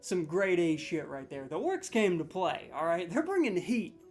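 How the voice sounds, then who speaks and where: A man riffing through a comedic gaming commentary with excitable, sarcastic energy, trailing off and restarting as he rambles. He swears freely and keeps checking in with the listener. Faint music plays underneath his voice. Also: high voice, normal speed. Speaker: gaming video host.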